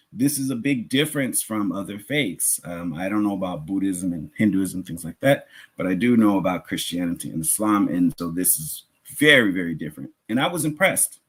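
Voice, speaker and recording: An American man giving a religious lecture as a teacher, speaking deliberately and repeating words and phrases for emphasis, with a slightly husky voice. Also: lowish voice, animated, a bit bright. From United States.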